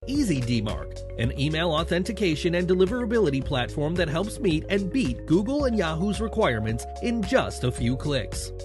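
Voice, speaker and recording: A man's voice: a little high, sing-song, moderate pace, hardly any pauses. Upbeat male ad announcer over music. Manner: polished, upbeat sales delivery. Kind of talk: scripted ad read. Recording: ad read with music underneath.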